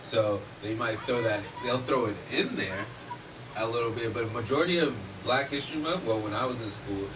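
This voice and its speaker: A man talks in a casual, animated way, pressing his points with emphasis and the odd curse. He sits some way from the microphone, and steady background noise runs under his voice. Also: mid-range voice, normal speed. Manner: animated, emphatic, swears freely. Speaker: podcast host. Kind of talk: casual discussion. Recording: distant mic with noticeable background noise.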